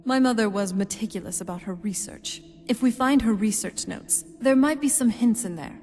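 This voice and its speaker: A woman's voice: fairly high pitch, normal speed, darkish tone, close to an everyday voice. An actress playing a curious young female vampire in a video game, speaking in a conversational, wondering way. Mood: thoughtful, focused. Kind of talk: video game character dialogue. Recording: long hall reverb, music behind.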